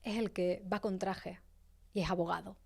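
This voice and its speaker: A woman's voice: on the low side, fairly even intonation, moderate pace, gravelly, darkish tone. A woman hosting a podcast interview, asking her guest questions and adding brief conversational remarks. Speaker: podcast host.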